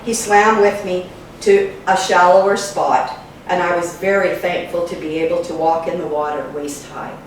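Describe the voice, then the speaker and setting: A woman with a slightly low voice reads a historical account aloud in measured, phrase-by-phrase narration. She sounds set back from the microphone in a very reverberant room. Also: fairly even intonation. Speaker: reader of a local history book. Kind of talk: read-aloud narration of memoirs and letters. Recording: very echoey room, distant mic, faint noise.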